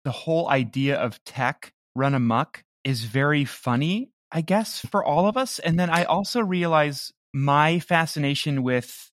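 A man's voice: a little high, expressive, unhurried, hardly any pauses, a bit bright, dry recording, clean studio sound. A man chatting casually in an interview as one of the creators of a comedy show.

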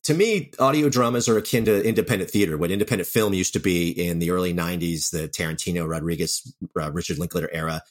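A man's voice: sits low, fast talker, few pauses. A man answering as an interview guest, a writer-director talking earnestly and conversationally, often doubling back on his words.

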